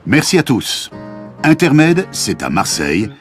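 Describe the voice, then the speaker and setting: An actor playing an upbeat television reporter in a scripted language-lesson report. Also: male voice, mid-range voice, huge pitch swings, a little slow, faint music behind. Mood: upbeat, announcing. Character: upbeat male television reporter interviewing young festival-goers.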